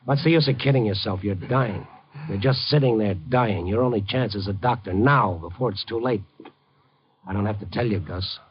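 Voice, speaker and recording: A man's voice: on the low side, normal speed, a little hiss or hum, lightly acted. An actor playing a streetwise, wisecracking newsman hero in a 1950s radio noir drama, with a dry, insistent, hard-boiled delivery. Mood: insistent, urgent. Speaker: lead character and narrator. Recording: dull, band-limited 1950s radio recording.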